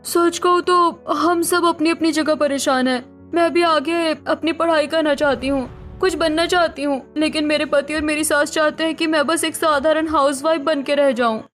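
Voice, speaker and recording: An ambitious young daughter-in-law in a cartoon drama voiced by a woman. She is pointed and outspoken in a high voice over light music. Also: steady tone, normal speed, few pauses, a bit bright. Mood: frank.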